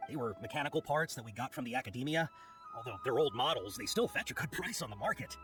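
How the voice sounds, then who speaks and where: A man voicing a flustered, apologetic caravan merchant in a video game, hesitant and animated in his delivery. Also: medium pitch, quick pace, lightly acted. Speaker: voice actor in game dialogue. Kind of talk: scripted video game voice acting. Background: game background music.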